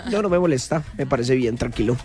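A man, co-hosting in a calm, measured way. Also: mid-range voice, over music. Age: 20s. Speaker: co-host. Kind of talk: casual radio banter.

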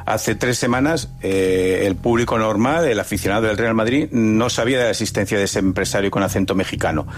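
A man's voice: lowish voice, fairly quick, hardly any pauses, slight background noise. Emphatic male sports pundit. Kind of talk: live football talk-show analysis.

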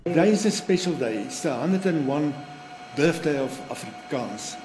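A man's voice: fairly high pitch, animated, normal speed, darkish tone. South African man in an echoey room. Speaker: museum spokesperson. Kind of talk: interview remarks. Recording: echoey room with background noise.

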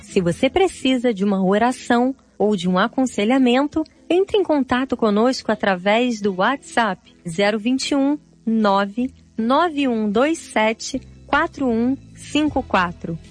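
A calm female announcer reads out contact details. She speaks slowly in a slightly high voice. Faint music runs beneath her. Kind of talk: slow promo read.

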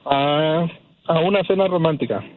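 A man's voice: on the high side, normal speed, narrow, tinny audio, background noise. A man answering briefly as a radio guest, polite and a little hesitant. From Guatemala. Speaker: guest on a radio matchmaking segment. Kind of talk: casual chat, short answers.

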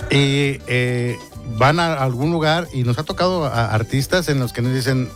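A man hosts a morning radio show, talking loosely and laughing easily. His voice sits at an ordinary middle pitch. Faint music plays under the studio talk. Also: moderate pace. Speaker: radio host. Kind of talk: casual radio chat.

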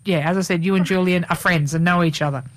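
A woman's voice: lowish voice, steady tone, fast talker. A woman hosting a community radio show, chatting casually with lots of fillers and restarts. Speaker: radio host. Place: radio studio.

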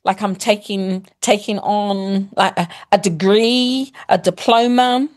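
A middle-aged woman with a New Zealand accent talks like a teacher, laying out examples one after another. She pauses to rephrase and restart, steady and friendly. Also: mid-range voice. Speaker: guest, primary school teacher. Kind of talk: casual explanatory chat, mixing Māori and English.

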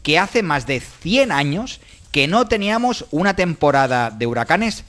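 A man's voice: fairly high pitch, sing-song, unhurried, fairly bright tone. A man giving the weather report on a morning radio show, explaining it steadily. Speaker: weather presenter.

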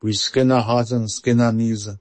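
A man's voice: medium pitch, level tone, moderate pace, darkish tone, no room sound. Indian accent man remembering, mid voice, dry close mic. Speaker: interviewee. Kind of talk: recorded remembrance.

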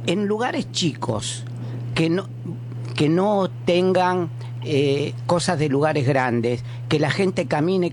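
A man's voice: fairly high pitch, a little mellow. A man from Italy, asking questions conversationally and searching for his words. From born in a small mountain village in Italy. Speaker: doctor putting questions to a guest. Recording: clearly noisy background.

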